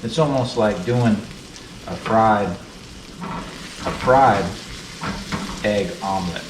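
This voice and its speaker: A man from the United States hosting a relaxed, chatty cooking tutorial in his kitchen. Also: fairly low pitch, very slow, background noise. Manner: relaxed, chatty, fond of saying beautiful. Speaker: cooking channel host. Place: kitchen with food frying on the stove. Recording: roomy sound, mic set at a distance, sizzling pan.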